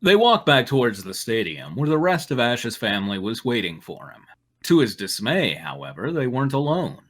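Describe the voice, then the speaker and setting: Bright-voiced man reading fan fiction. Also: medium pitch, big pitch swings, normal speed. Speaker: narrator and commentator.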